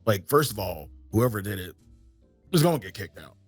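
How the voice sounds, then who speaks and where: A man's voice: lowish voice, sing-song, normal speed, breathy edge, faint music behind. A man in a casual podcast chat, talking in a laid-back, reassuring way and swearing now and then.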